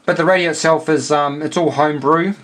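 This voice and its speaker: A man's voice: fairly high pitch, steady tone, a bit bright. Hesitant New Zealand man talking radio tech. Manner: hesitant, halting, explanatory. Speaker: amateur radio operator. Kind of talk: casual technical radio chat.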